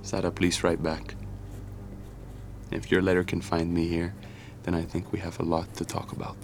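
A man's voice: deep voice, monotone, moderate pace, slight background noise. An actor playing a naive, bewildered, lovelorn man in an audio drama, hesitant and exasperated. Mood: earnest, exasperated. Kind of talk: audio fiction acting, intimate letter narration.

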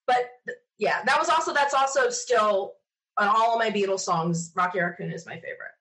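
A woman's voice: medium pitch, fairly quick, low-quality recording. Chatty woman telling personal stories. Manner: chatty, animated quoting of self and others. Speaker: interview guest. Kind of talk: casual personal storytelling.

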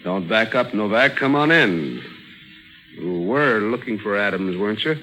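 An actor playing a menacing, boastful gangster killer in 1940s radio crime drama style. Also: male voice, fairly low pitch, lively intonation, a little slow, poor audio. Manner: taunting, boastful. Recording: vintage 1940s radio broadcast.

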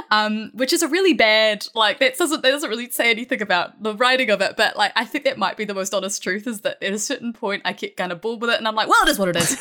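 A woman talking casually as a guest writer in an interview, animated and quick to laugh. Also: on the high side.

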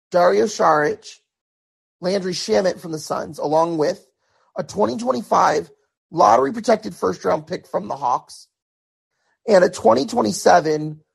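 A man hosting a solo sports podcast, talking through his thoughts in a casual way with many restarts, his voice hoarse. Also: sits high, low-quality recording. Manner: conversational, repeats words and restarts often. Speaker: podcast host.

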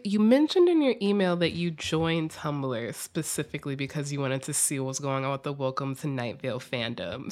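A woman hosting a podcast in a conversational style, addressing her guest and listeners. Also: low-pitched. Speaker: podcast host.

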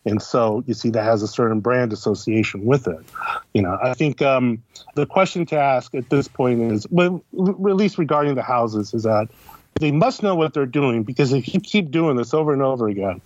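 A man's voice: medium pitch, moderate pace, a little mellow, no echo. A man talking casually as an interview guest, conversational, often posing questions and answering them himself. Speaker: guest, critic and editor. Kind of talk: casual explanatory interview talk. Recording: slightly dull, lo-fi podcast audio.

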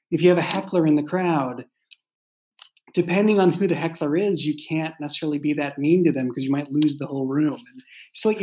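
A man speaks in a mid-pitched voice, as a writer chatting about his craft. He explains in a casual, halting way and often circles back to reword what he has begun. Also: a little mellow. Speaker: fiction podcast writer and creator. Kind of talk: casual behind-the-scenes discussion.

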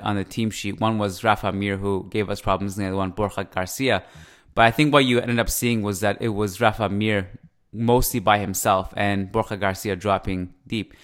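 A man's voice: fairly low pitch, a bit bright. A man with an East Coast Canadian accent with Persian influence, hosting a casual football analysis podcast. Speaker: sports podcast host.

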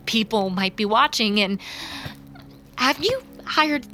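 An actress in an audio drama playing an anxious, impulsive young woman, agitated and halting, as if muttering to herself. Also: fairly high pitch, expressive. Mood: nervous concern. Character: anxious, impulsive young woman determined to stop a former friend. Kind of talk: audio drama acting with inner monologue.

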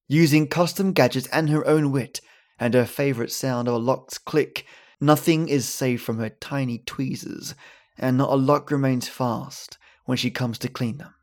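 A man hosting a podcast, delivering scripted thanks and stories in a dramatic way with storytelling pauses. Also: mid-range voice. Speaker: podcast host. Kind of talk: theatrical scripted narration and listener thanks.

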